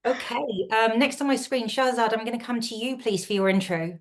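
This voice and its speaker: A woman's voice: on the high side, brisk. A woman speaking from her home office on a video call, moderating a panel and talking with many hesitations and restarts. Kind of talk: online panel hosting.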